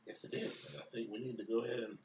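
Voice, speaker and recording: A man co-hosting a casual chat, giving short reactions and asking quick questions. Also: medium pitch, level tone, darkish tone, echoey room. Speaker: co-host. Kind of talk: casual live-stream chat.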